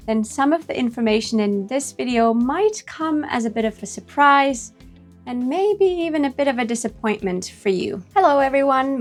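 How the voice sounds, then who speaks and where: Woman explaining, music behind. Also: fairly high pitch. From Finland. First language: Finnish. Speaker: host of an expat advice video. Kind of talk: scripted informational explainer.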